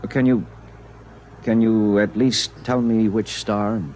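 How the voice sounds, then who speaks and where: An actor playing an earnest, stubborn agent in a 1950s radio drama. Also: male voice, fairly low pitch, flat delivery, takes it slowly, darkish tone. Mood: dreamy. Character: earnest, stubborn male agent confiding in his chief. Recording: noisy vintage radio broadcast recording.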